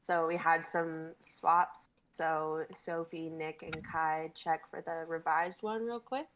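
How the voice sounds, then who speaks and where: A woman's voice: deep voice, fairly even intonation, slow, fairly bright tone, slight background noise, low-quality recording. A young woman co-hosting a live stream, talking casually with a group. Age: young adult.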